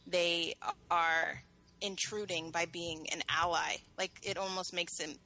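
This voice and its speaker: A woman giving her view in a casual discussion, thoughtful and measured. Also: fairly low pitch, little pitch movement, sharp tone, faint music behind, poor audio. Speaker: panel guest.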